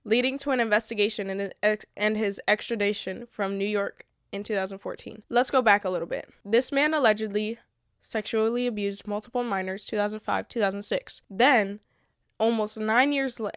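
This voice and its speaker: A woman's voice: mid-range voice, no room sound, studio quality. A woman, congested from a recent illness, hosting her own commentary video and reading from notes in a blunt, earnest way. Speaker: channel host.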